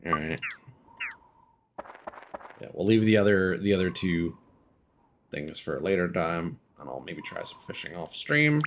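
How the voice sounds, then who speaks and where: A man's voice: low-pitched, lively intonation, a little slow, gravelly, pauses often, fairly bright tone, slight background noise. A man live streaming games, chatting casually in a hesitant, meandering way with trailing thoughts.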